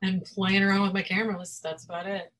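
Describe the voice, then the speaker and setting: A woman author and publisher chatting as a podcast guest, animated and giggly, rambling with many fillers. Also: medium pitch, a little fast. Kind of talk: casual chat. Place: Florida home.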